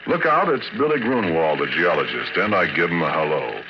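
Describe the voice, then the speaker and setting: Deep-voiced, folksy oil rig hand. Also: male voice, big pitch swings, brisk. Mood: easygoing, hospitable. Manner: folksy, colloquial, chuckling drawl of a working man. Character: folksy, easygoing oil rig hand, narrating his own tale. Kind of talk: old-time radio horror drama acting. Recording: dull, noisy old radio recording.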